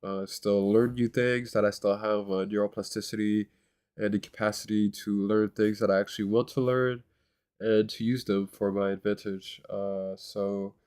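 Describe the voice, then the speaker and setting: Man, nasal congested voice, low pitch, flat delivery, rambling, hesitant, casual vlog. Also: moderate pace, clean tone. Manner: rambling, hesitant, many fillers and restarts. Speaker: vlogger. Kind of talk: casual self-recorded vlog.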